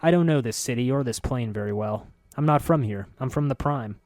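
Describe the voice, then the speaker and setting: A man reading a story voices a curious, sharp-spoken middle-aged woman who talks in a quick, insistent way. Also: mid-range voice, dry recording, close to an everyday voice. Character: curious sharp-spoken woman, neither young nor old, newcomer.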